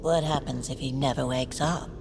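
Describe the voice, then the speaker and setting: An actress playing a villain's needling henchwoman in an audio drama, hushed and taunting. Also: extremely low pitch, steady tone, moderate pace, noisy background.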